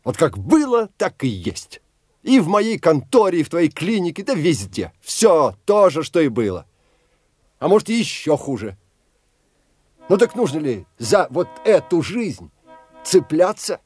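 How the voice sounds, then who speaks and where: An actor in an audio drama playing a desperate, bitter husband from the provinces, husky and halting, repeating himself with passion. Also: male voice, fairly high pitch, sing-song, darkish tone, no echo, clean studio sound, faint music behind, exaggerated voice. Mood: agitated despair.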